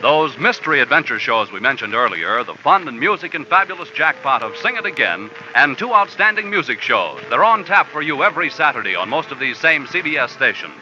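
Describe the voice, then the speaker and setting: A male network announcer reading credits and program promotions in a smooth, formal broadcast style. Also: medium pitch, big pitch swings, a little fast, hardly any pauses, bright, forceful tone. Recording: dull old radio recording with music.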